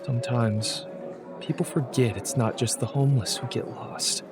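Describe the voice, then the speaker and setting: Male actor for a mysterious homeless man, medium pitch, measured and perceptive, radio drama with music bed. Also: fairly even intonation, natural voice. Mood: gentle, probing. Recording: music under the dialogue.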